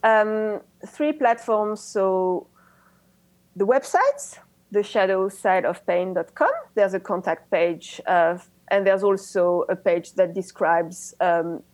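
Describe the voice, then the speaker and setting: A woman with a French accent, a native French speaker, talking as a guest about coaching in a casual interview, enthusiastic and halting, with many restarts. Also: medium pitch, fairly even intonation, darkish tone, no echo, poor audio.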